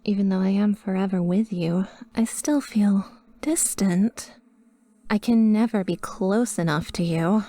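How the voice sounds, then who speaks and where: A woman playing a sweet, possessively devoted guardian angel in a roleplay ASMR monologue, with soft, breathy, often whispered speech and formal, flowery wording. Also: mid-range voice, no room sound, natural voice. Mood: jealous, possessive. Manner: formal, flowery, slow and adoring.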